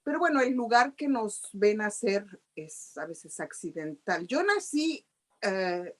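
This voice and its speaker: A woman's voice: mid-range voice, sing-song, takes it slowly. Middle-aged Mexican woman, guest on a video call, chatty and explanatory, Mexican Spanish accent. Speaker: guest journalist. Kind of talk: interview answers.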